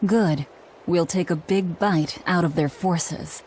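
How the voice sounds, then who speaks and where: Low, brooding young warrior woman. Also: slow pace, a little mellow, slight background noise, rough recording. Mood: matter-of-fact. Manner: terse, resolute, brooding. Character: grim, guilt-laden young woman warrior, stubborn and unapologetic. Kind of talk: dramatic video game voice acting.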